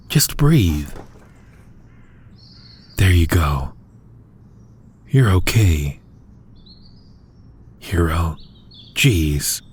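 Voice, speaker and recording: A man playing a supervillain who gently cares for his hero nemesis in an ASMR audio roleplay, soft-spoken, dryly teasing and reassuring. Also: deep voice, breathy edge, full character voice. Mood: soothing, then teasing, caring.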